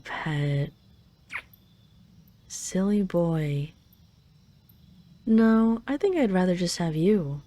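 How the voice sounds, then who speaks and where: A woman acts out a dragon queen doting on her beloved in an intimate audio roleplay. Her voice is fairly low and very mellow. She lingers over her words, possessive and teasing, yet tender.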